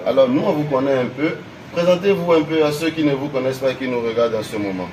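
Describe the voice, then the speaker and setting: A man's voice: mid-range voice, fast talker, darkish tone, distant mic. A man hosts a television interview, greeting his guest and showering him with praise as he asks his questions. His voice rings through an echoey room and sits some way off the mic, with a faint hiss behind it. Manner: effusive, repeats praise words.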